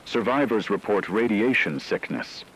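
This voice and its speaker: A man's voice: on the low side, expressive, normal speed, a bit bright, slightly rough, low-quality recording. A man playing a grave radio news anchor, delivering a scripted disaster report in a solemn, formal, rhetorical manner.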